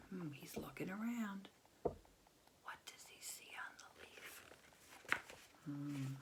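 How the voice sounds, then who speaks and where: Low-voiced, unhurried American woman reading to children. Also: background noise. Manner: expressive, simple explanations with frequent questions to the listener. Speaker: teacher reading a picture book to children. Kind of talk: children's read-aloud with asides to young listeners. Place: small bedroom at home, indoors.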